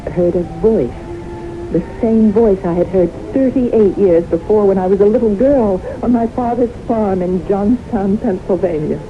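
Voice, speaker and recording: An actress playing a reserved, haunted middle-aged secretary recounting her life in measured, grave radio drama style. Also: medium pitch, close to an everyday voice. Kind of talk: 1970s radio drama acting, narration and dialogue. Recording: noisy muffled old radio broadcast recording.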